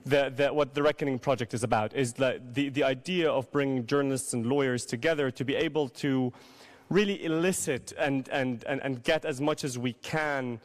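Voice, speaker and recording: A man's voice: mid-range voice, normal speed. A man in his thirties from Syria, a legal counsel answering on a conference panel with a handheld mic, hesitant with many restarts and repeated words. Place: large conference hall. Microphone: handheld microphone.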